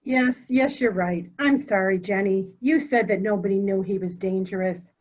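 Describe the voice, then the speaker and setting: A woman's voice: medium pitch, moderate pace, highs cut off, close to an everyday voice. A woman playing a weary, remorseful member of a household in an amateur mystery play. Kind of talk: amateur murder mystery radio play acting.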